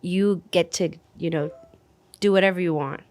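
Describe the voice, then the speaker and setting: A woman in a casual podcast discussion, talking in an animated, chatty way. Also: on the low side, normal speed.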